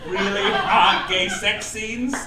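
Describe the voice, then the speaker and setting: A man's voice: high-pitched, fairly even intonation, slow, a loud crowd. A man performing a theatrical, campy comedy monologue, reading mock-official proclamations as host of a live show. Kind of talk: stand-up comedy monologue read to a live audience. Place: cabaret club stage before a laughing audience. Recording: roomy live club, distant mic, loud noise.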